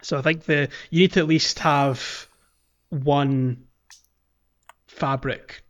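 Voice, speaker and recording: A man's voice: on the high side, fairly even intonation, slow, fairly bright tone. A man co-hosting a casual gaming podcast, who talks in a chatty way with lots of ums and restarts. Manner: chatty, many ums and restarts, laughs easily. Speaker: podcast co-host. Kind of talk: casual game-talk podcast. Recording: dull lo-fi podcast audio.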